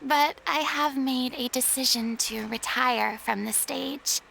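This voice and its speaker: An actress playing a proud, theatrical young former star in a video game, with a haughty, dramatic and formal delivery. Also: female voice, high voice, takes it slowly, creaky, sharp tone, a little hiss or hum, heavily acted, low-quality recording. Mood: resigned, firm. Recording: background music under the voice.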